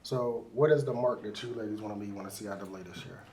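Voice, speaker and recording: A man's voice: on the low side, racing, darkish tone, a little hiss or hum. A man hosting a casual sports interview, chatty and loose, with lots of fillers. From Pittsburgh. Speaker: sports show host.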